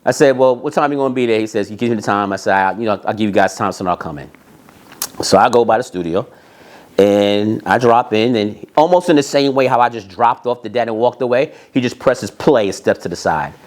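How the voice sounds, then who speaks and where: A man telling anecdotes in an animated, emphatic way, repeating phrases and acting out bits of dialogue. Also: fairly low pitch, a little fast. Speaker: storyteller, music industry executive.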